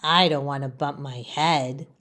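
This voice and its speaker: A woman voicing a small, worried leaf who is afraid to fall, in a soft, anxious children's storybook voice. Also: low-pitched, fairly even intonation, measured pace, voice pushed down.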